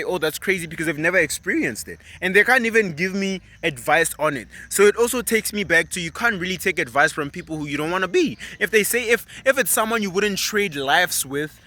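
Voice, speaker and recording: A young man from South Africa, a vlogger talking in an animated, emphatic and persuasive way in casual motivational chat. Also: high voice. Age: 20s.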